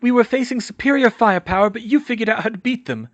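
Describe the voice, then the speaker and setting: A man's voice: extremely high pitch, fast talker, dull audio, pitched above the performer's own voice. A man voicing a brave, earnest young witch in a strained, battle-worn way for a storybook reading. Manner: strained, wheezing, commanding.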